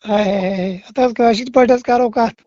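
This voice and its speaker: A man's voice: very high voice, fairly even intonation, normal speed, warm tone, no room sound. A man with a Kashmiri accent on a recorded phone call, arguing back in a pleading, repetitive way and often cutting in. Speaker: caller. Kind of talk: argumentative phone conversation.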